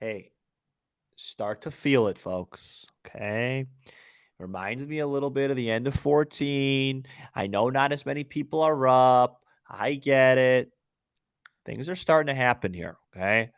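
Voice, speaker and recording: A man's voice: mid-range voice, a little slow, clear, resonant tone, no room sound, clean studio sound. A man co-hosting a sports podcast in casual talk, with choppy, conversational phrasing that often repeats words.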